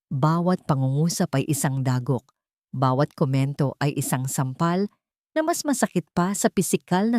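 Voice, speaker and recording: A woman with a Filipino accent narrating a scripted dramatic story in measured phrases with pauses. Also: on the low side, a little mellow, dry recording, clean studio sound.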